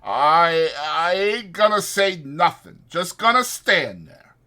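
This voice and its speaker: A man's voice: a little high, sing-song, slow, pushed and bright, pitched above the performer's own voice, heavily acted. A man voicing a simple-minded, eager, forgetful working man, hesitant, repetitive and naive, in a dramatized audiobook. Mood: slow, concentrating.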